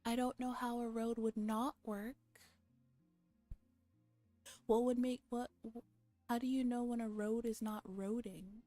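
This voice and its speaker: Bright-voiced playful woman, soft music behind. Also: a little high, normal speed, no echo. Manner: playful, giggly, relaxed banter. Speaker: streamer host reading a visual novel aloud. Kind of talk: casual livestream chat mixed with game read-aloud, ASMR.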